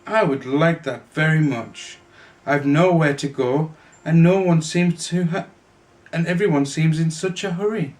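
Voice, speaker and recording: A man's voice: a little high, measured pace, a little mellow, lightly acted. A man voicing a small, polite, earnest young bear in a children's storybook, speaking with formal manners. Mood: eager, polite.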